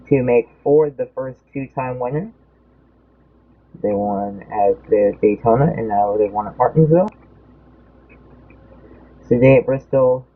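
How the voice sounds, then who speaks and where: A man's voice: mid-range voice, husky. A young man casually commenting on a race, rambling and losing track of his count, his voice a bit off. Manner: rambling, loses track mid-sentence. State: voice feels off. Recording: tinny phone-like audio.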